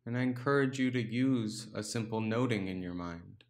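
Man, low-pitched voice, meditation teacher, calm guided narration, unhurried, spacious pauses.

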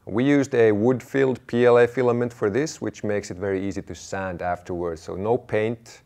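A man's voice: on the low side, fairly even intonation, moderate pace, a little mellow. A man in his thirties presenting a DIY audio build to camera in a matter-of-fact, explanatory way. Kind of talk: product walkthrough to camera.

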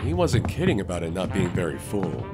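An actor voicing a brash, good-natured young human fighter with an eager, goofy delivery. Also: male voice, low-pitched, huge pitch swings, brisk, over loud music. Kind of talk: comic dub voice acting.

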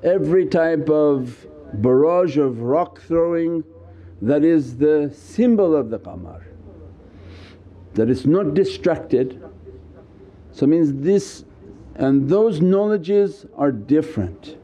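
A man's voice: fairly high pitch, lively intonation, takes it slowly, dark tone. An older man teaching a spiritual lecture, seated indoors and speaking into a small stand mic with emphatic gestures. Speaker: religious teacher. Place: indoors, seated on ornate couch before curtains. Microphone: small mic on stand in front.

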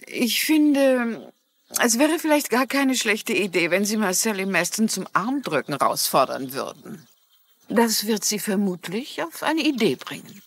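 Lively, husky lady sleuth. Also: mid-range voice, unhurried. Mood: wry. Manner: polite, hesitant probing. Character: polite, shrewd, inquisitive lady mystery writer sleuth. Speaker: lead actor in a dubbed mystery series. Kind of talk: dubbed TV drama acting.

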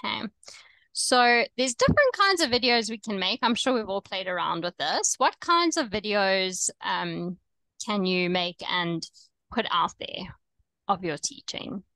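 A young woman with a South African accent, coaching online teachers over a video call in a relaxed, chatty way with frequent ums. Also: mid-range voice, unhurried, dry recording, low-quality recording. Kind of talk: webinar presentation.

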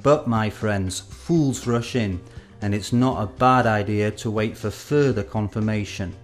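A middle-aged man presenting scripted instructional narration in a measured way, with deliberate pauses for emphasis. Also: fairly low pitch. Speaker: presenter of an educational film. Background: background music.

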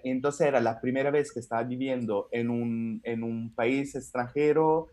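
A man's voice: mid-range voice, steady tone, moderate pace, a little mellow. A man in his thirties from Italy, speaking with an Italian accent as a guest comedian, telling his story in a rambling way with many fillers and restarts over a video call from his home. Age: 30s. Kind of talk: casual interview chat.

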